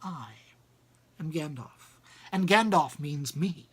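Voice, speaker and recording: A man voicing a dignified, wry old wizard in a storybook, with a deliberate, pompous and commanding delivery. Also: fairly high pitch, expressive, husky, heavily acted. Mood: indignant. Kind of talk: storybook character reading.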